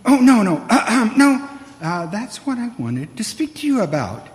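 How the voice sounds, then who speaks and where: An actor playing a fussy, anxious, cowardly store employee with a stammering, obsequious manner in an old-time radio play. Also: male voice, high-pitched, very animated, moderate pace. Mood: anxious, ingratiating. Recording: roomy stage hall with long reverb.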